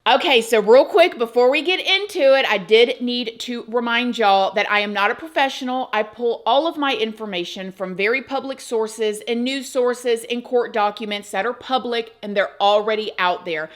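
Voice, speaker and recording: A woman's voice: fairly high pitch, normal speed, hardly any pauses. A woman, hosting a true crime channel and talking chattily and emphatically to her viewers. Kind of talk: casual chat to camera.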